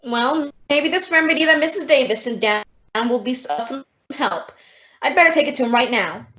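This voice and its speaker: A woman's voice: high voice, lively intonation, fairly quick, fairly bright tone, a little hiss or hum. A woman playing a wry, practical English teacher in an old-time radio comedy, with dry delivery. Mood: helpful. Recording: dull lo-fi radio drama audio.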